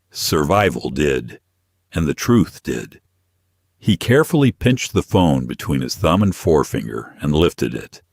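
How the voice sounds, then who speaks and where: Male audiobook narrator, very low voice, expressive melody, dramatic scripted read, dry studio-like sound. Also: no room sound.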